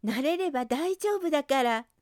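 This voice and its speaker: A woman's voice: sits high, animated, moderate pace, darkish tone, no room sound, clean studio sound, voice pushed up. A woman voicing a kind, earnest young male rabbit in a storybook, coaxing and emphatic. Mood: urgent, reassuring.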